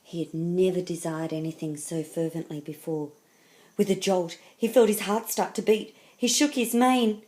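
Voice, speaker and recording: A woman reading a children's story aloud as narrator, with expressive, deliberate and dramatic phrasing. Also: fairly low pitch. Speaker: storybook reader.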